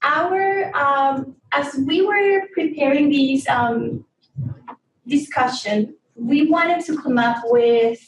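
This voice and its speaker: A woman with a high-pitched voice presents to a live workshop. She is heard at a distance from the mic in an echoey room with faint noise. Her manner is polite and explanatory, with pauses to find words. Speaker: webinar host and presenter.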